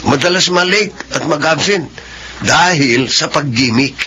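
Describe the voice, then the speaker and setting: A man's voice: on the high side, a little slow, pushed and bright. A man playing a gossipy, suspicious office worker in a Filipino radio drama.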